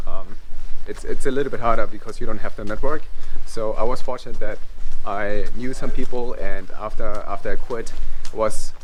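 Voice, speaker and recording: A man in his thirties from Germany, giving relaxed interview answers with lots of fillers and restarts. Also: lowish voice, moderate pace, few pauses, a little hiss or hum, low-quality recording. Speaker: guest, UX designer. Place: outdoors in winter.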